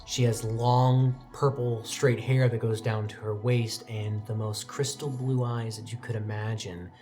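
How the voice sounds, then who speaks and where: A man's voice: flat delivery, faint music behind. Man narrating a tabletop game. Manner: descriptive, measured narration that drops soft and low for quiet details. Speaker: game master.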